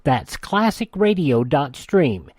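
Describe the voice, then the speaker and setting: A man working as a radio host, reading announcements and pitches in a folksy, conversational way. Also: sing-song, slow, darkish tone, dry recording, studio quality. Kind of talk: host reads, sponsor pitch and old news headlines.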